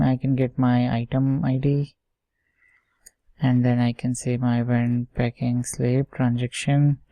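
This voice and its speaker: Slow, even-toned man giving a tutorial. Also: medium pitch, vocal fry, dry recording, lo-fi sound. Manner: halting, step-by-step, checks himself often. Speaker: software tutorial instructor. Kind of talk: informal screen-share coding tutorial, thinking aloud.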